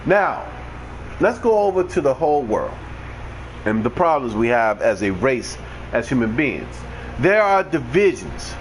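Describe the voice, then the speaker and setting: A man's voice: mid-range voice, big pitch swings, a little slow, slight background noise, rough recording. A man giving a blunt, ranting, preachy monologue to his livestream viewers over a headset mic. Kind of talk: unscripted monologue.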